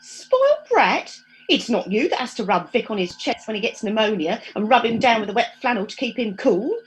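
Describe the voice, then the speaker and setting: Lady doing fussy overprotective mum, highish, lively, scolding, radio comedy. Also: moderate pace, soft background music, low-quality recording. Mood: indignant. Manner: scolding, mollycoddling. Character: fussing, overprotective, indignant mother.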